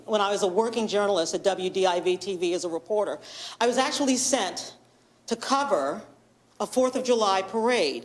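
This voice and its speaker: A woman with a loud voice giving a lively, laughing presentation as a board member at a public meeting. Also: medium pitch. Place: township meeting room.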